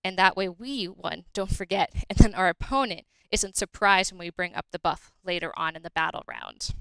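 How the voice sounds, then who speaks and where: A woman's voice: fairly low pitch, normal speed, vocal fry, bright, forceful tone, clear, resonant tone, dry recording, low-quality recording. A woman presenting game tips as a guest, explaining steadily and in order.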